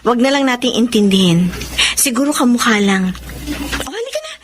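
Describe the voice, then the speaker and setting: An actress playing a loving young adult daughter in a Filipino radio drama, open-hearted and easily wounded. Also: female voice, fairly high pitch, huge pitch swings, a little fast. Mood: light, hesitant. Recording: noisy radio drama track.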